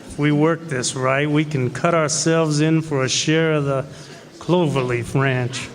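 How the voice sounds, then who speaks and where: A man's voice: a little high, steady tone, measured pace, natural voice. A man playing a sly cowboy outlaw and blackmailer in an old-time western radio drama. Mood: scheming, threatening. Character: Bogus, scheming blackmailing male cowboy outlaw and killer. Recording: echoey hall, live stage performance.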